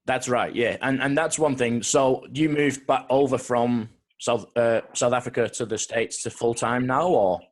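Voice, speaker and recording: A man's voice: mid-range voice, fairly even intonation, moderate pace, hardly any pauses. A man hosting an interview, casual and supportive, breaking down boxing technique with his guest. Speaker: interviewer host. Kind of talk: interview conversation.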